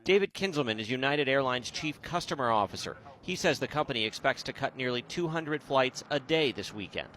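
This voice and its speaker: A man's voice: medium pitch, animated, fairly quick, few pauses, sharp tone, slight background noise. A man reading a scripted broadcast news report as a television reporter.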